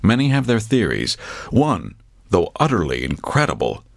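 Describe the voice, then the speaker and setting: A man's voice: on the low side, huge pitch swings, moderate pace, gravelly, no room sound, studio quality, full character voice. A man playing a pompous, grave documentary narrator, reading a scripted mock documentary.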